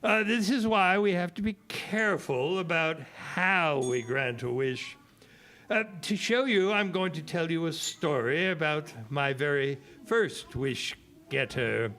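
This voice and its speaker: A man's voice: on the high side, unhurried, bright, forceful tone. A man playing a fussy but kindly fairy godparent who teaches at an academy, theatrical and a little hesitant, in children's audio theater. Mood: storytelling.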